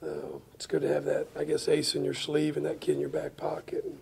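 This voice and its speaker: A middle-aged man with a raspy, gravelly voice, a football head coach answering questions at a post-game press conference. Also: on the high side, monotone, brisk, a little mellow. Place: indoor press room, seated before a banner.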